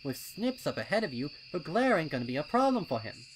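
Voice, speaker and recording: Man as a small boy colt, high voice, eager, confident, colloquial, storybook, night noise behind. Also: sing-song, a little fast, low-quality recording. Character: young colt adventurer, eager, confident little planner.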